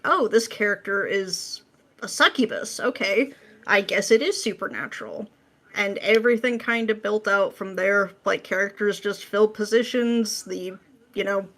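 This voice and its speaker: Female interview guest, medium pitch, informal conversational read, explains by quoting her own thoughts. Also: fairly bright tone. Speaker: podcast guest, script writer. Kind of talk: casual interview chat.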